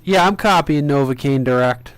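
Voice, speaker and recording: A man's voice: medium pitch, normal speed, no echo. A man talking casually as a CB radio operator hosting an on-air session. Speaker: live stream host, CB operator. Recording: clearly noisy radio-room recording.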